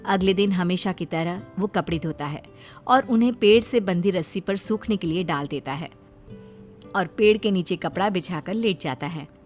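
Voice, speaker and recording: A woman's voice: fairly low pitch, normal speed, faint music behind. A woman storyteller reading a scripted tale as narrator for a children's story.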